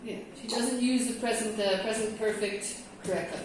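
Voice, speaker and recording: A woman's voice: a little high, fairly even intonation, normal speed, noisy background. A woman from California leading a classroom discussion as an English teacher trainer, chatty and checking in with her group. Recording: roomy echo, mic from a distance.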